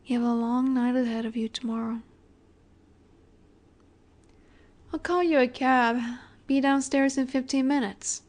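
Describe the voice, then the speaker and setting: A woman playing an ageless, possessive vampire in a romantic audio roleplay, speaking softly and breathily, often near a whisper, with a wistful, elegant, gently commanding manner. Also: high voice, level tone, moderate pace, natural voice. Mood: gently commanding, fond. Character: ageless female vampire boss's daughter, wistful, tender, possessive.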